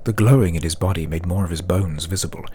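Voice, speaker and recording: A man's voice: low voice, quick pace, warm tone, slight background noise. A man narrating a scripted fantasy audiobook as a dramatic storyteller.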